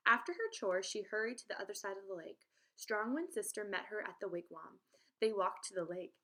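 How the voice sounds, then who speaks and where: Female teacher, expressive children's read-aloud, direct address to young listeners. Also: medium pitch, a little fast. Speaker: teacher reading aloud to pupils.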